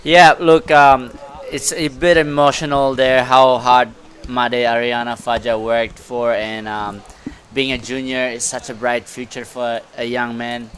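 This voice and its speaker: A man giving casual, halting, appreciative sports commentary as a guest beside the main host. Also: mid-range voice, a bit bright, a little hiss or hum.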